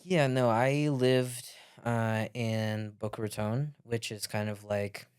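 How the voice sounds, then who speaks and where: A man from Latvia, a podcast guest, talking casually in a relaxed, unhurried way with many pauses. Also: mid-range voice, smooth. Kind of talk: casual conversation.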